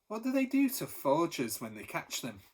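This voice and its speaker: A man's voice: a little high, wildly swooping pitch, normal speed, pitched above the performer's own voice. A man voicing an eager, curious young boy asking questions in a storybook.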